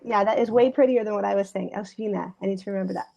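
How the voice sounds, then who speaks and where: A woman hosts a casual video call, chatting in a friendly, talkative way. Her voice sits in an ordinary middle pitch. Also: racing, creaky, muffled recording, crowd noise. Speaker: host.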